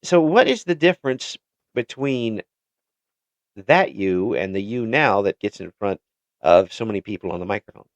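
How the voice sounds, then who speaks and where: A male interview host who stumbles through his questions, restarting and doubling up his words as he goes. His pitch rises and falls in a lively way. The sound is dull and lo-fi but dry, with no room echo. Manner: halting, restarts and repeats words often. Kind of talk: casual interview chat. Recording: dull lo-fi recording.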